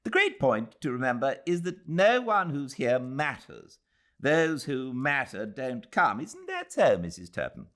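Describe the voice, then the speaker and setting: A man's voice: medium pitch, wildly swooping pitch, unhurried, a bit bright, voice pushed up. A male narrator voicing a pompous, condescending young colonial official with a British accent, declaiming his opinions in a storybook reading. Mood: self-assured.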